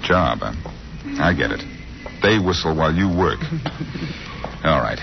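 An actor playing a cool, wisecracking detective with a glib, teasing manner in 1940s radio drama style. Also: male voice, a bass voice, huge pitch swings, slow, creaky, noisy background, heavily acted. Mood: wry, playful. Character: wisecracking, cool amateur detective, suave and flippant. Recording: muffled old-time radio recording.

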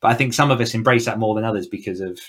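A man's voice: lowish voice, rapid-fire, slightly rough. A man chatting casually as a podcast guest, thinking aloud in a reflective, conversational way with frequent asides. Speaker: podcast guest, horror author. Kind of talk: casual interview chat.

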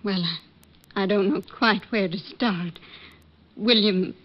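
A woman's voice: mid-range voice, slow. An actress playing a worried, hesitant, courteous middle-aged mother in a 1940s radio drama. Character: anxious, polite middle-aged widowed mother. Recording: dull old radio recording.

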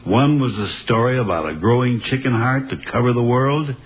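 A man with a husky voice, a radio playwright giving a wry scripted introduction. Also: medium pitch, a little fast. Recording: slightly dull radio recording.

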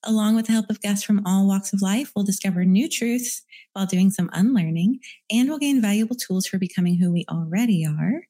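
A woman's voice: medium pitch, brisk, mellow. A woman hosting a podcast, reading an earnest introduction and then interviewing her guest. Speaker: podcast host.

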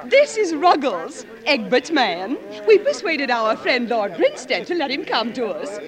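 Affected American society wife, effusive, very animated voice over a busy crowd, vintage radio. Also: female voice, high voice, unhurried. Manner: effusive, theatrical, genteel. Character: gushing, affected, socially aspiring American wife. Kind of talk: 1940s radio comedy acting. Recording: old radio, crowd behind.

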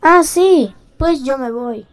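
A cheeky big bad wolf, acted out by a performer in a comic audio fairy tale. The voice sits high and has a whiny, defensive streak, always wanting its own way. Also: lively intonation, slow pace.

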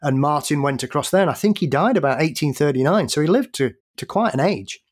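A man, a guest on a podcast, with a voice pitched a little above the usual. He recounts odd historical tales in careful detail. He laughs readily along the way. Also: animated, brisk. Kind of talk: casual podcast conversation.

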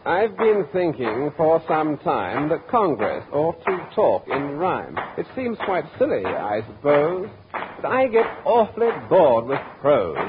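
A man's voice: a little high, very animated, slow pace. A man reciting comic rhymed verse in vintage radio comedy style. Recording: very noisy, tinny old radio recording.

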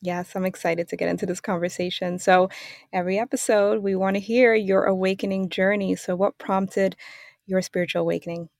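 Woman podcast host with creaky voice. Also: mid-range voice, steady tone, fairly quick, a little mellow, dry recording, studio quality. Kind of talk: interview questioning.

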